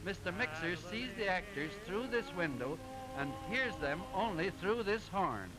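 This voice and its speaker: A man playing a brisk, confident doctor who explains things in a declamatory, instructive way, on an old 1920s film soundtrack. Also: sits high, animated, over music.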